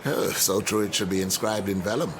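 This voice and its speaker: An actor playing a reflective older academic mentor in a radio drama, eloquent, measured and wry. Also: male voice, fairly low pitch, steady tone, noisy background, close to an everyday voice. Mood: amused. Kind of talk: naturalistic radio drama dialogue.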